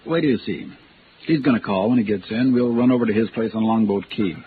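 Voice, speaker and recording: A man's voice: on the low side, quick pace, natural voice. An actor playing a domineering, folksy husband and insurance man in a 1950s radio drama. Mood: insistent, explanatory. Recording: tinny vintage radio with faint noise.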